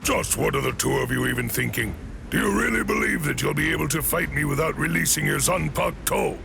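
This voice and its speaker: A man voicing a stern, imposing old sword master in an anime game, with commanding, formal speech and a gravelly, creaky voice. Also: fairly high pitch, brisk, noisy background. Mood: stern, impatient. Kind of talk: dramatic anime game voice acting. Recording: orchestral game music behind the voice.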